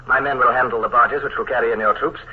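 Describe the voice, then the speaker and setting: An actor playing a steady, commanding naval commodore who also narrates, in 1940s radio drama style. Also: male voice, mid-range voice, a little hiss or hum, lightly acted. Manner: authoritative, measured narration. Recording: tinny old radio broadcast.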